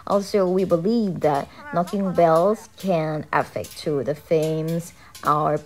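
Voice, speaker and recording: A woman's voice: low-pitched, slow. A young woman from Thailand with a Thai accent, chatting casually through a travel vlog. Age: young adult. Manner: chatty, upbeat, with frequent "alright" and "you know". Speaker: vlogger. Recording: voice-over with loud background music.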